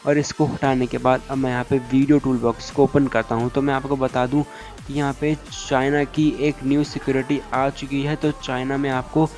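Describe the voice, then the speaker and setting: A man's voice: medium pitch, little pitch movement, moderate pace, a little mellow, faint music behind. A man giving a step-by-step phone tutorial as a YouTuber, repeating instructional phrases and mixing in English tech terms.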